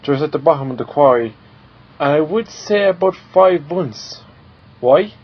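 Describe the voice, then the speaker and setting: A man's voice: on the high side, animated, measured pace, husky, lo-fi sound. A man playing a hostile, scornful middle-aged husband and father in an audio drama. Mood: puzzled. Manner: snappy, scornful.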